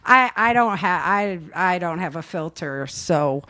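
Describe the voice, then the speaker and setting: A woman's voice: on the low side, expressive, a little slow, bright, forceful tone. A woman hosting a show in casual, conversational interview style. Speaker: show host.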